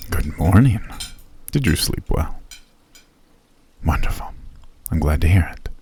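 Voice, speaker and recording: A man playing a genteel ancient elf, deep and husky. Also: expressive, measured pace, heavily acted. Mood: friendly. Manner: gracious, formal and softly spoken. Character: ancient male elf host, courteous and genteel. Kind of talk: ASMR roleplay.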